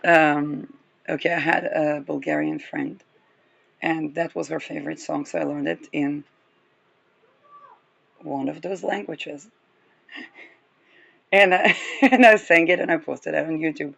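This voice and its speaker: Slow, gravelly-voiced astrology reader. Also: mid-range voice, steady tone, a bit bright. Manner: rambling, chuckles often between remarks. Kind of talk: casual unscripted astrology talk.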